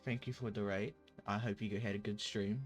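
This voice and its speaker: Man chatting haltingly on a livestream. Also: lowish voice, steady tone, faint music behind. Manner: halting, polite. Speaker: streamer. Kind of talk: casual livestream chat.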